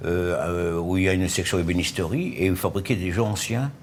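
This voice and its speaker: A former tavern owner answers questions calmly and sticks to plain facts. His voice is very deep. Faint ambient noise sits behind him. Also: a little fast. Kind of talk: interview answers.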